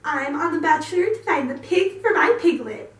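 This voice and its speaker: A woman's voice: sits high, expressive, moderate pace, noisy background. A woman playing a quirky young contestant obsessed with pigs. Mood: eager. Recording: roomy and distant from the mic.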